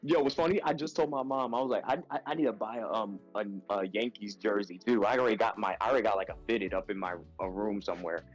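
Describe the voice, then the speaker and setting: A man's voice: fairly low pitch, fast talker, hardly any pauses, soft background music. A man from New York casually chatting on a wrestling podcast, laid-back and full of "um" and "bro". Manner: laid-back, filler-heavy, says "bro" a lot. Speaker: podcast co-host.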